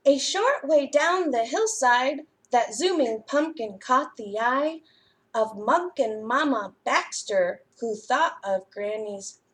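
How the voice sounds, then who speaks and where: Lady doing kids story time, high voice, takes it slow, kinda sing-song. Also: expressive. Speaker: children's library storyteller. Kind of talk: read-aloud story time for young children.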